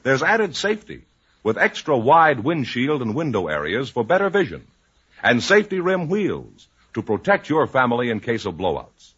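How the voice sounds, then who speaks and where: A man's voice: mid-range voice, huge pitch swings, normal speed, a bit bright, husky. A man announcing on 1950s radio in an emphatic, polished commercial style. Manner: emphatic, polished sales delivery. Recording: muffled 1950s radio broadcast recording.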